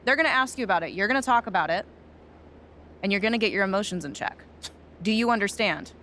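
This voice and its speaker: Woman acting as sardonic sports agent, bossy, blunt, mid-pitched voice, video game drama, light background noise. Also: expressive, quick pace, a bit bright, lightly acted. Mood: firm. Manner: blunt, clipped, impatient.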